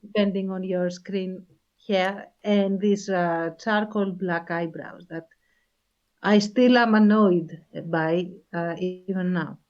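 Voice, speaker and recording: Slow-talking woman on dull lo-fi audio. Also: medium pitch, fairly even intonation, darkish tone. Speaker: podcast co-host. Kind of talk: casual chat.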